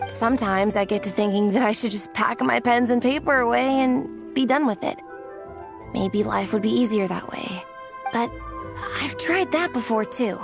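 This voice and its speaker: Reflective young artist girl, high and sincere, game dialogue over music. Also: moderate pace, gravelly. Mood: wistful, doubtful. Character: earnest, self-doubting young woman artist. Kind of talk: video game voice acting.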